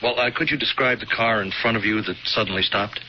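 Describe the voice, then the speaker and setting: An actor playing a hesitant, polite state trooper in a 1970s radio drama, talking haltingly with many pauses. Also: male voice, lowish voice, close to an everyday voice. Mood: probing. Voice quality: halting, full of "uh" and "well". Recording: lo-fi old radio broadcast, faint hiss.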